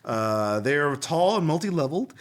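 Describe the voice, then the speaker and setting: Male host, very lively intonation, wry and jokey, casual geeky discussion. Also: fairly high pitch, normal speed. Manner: wry, jokey, profane asides. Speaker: podcast host. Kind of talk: casual chat reviewing old gaming magazines.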